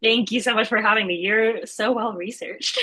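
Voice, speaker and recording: A woman's voice: a little high, normal speed, sharp tone, slightly rough. A young adult woman from Singapore, a guest in a casual interview, talking thoughtfully and laughing often.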